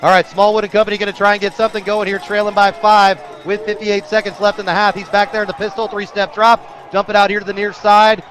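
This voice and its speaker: A man calling live football play-by-play on the radio, excitable and breaking into shouts on big plays. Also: high-pitched, quick pace, few pauses. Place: outdoor football stadium broadcast.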